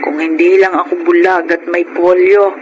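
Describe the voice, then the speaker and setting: A woman playing a gentle, loving blind mother in a Tagalog radio drama, tender and sentimental. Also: fairly low pitch, flat delivery, moderate pace, muffled recording, close to an everyday voice. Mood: sorrowful, grateful. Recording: noisy radio drama background.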